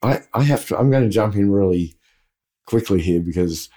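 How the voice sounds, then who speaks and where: A man's voice: lowish voice, animated, normal speed, mellow. A man, co-hosting a podcast in a conversational style. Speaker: podcast co-host.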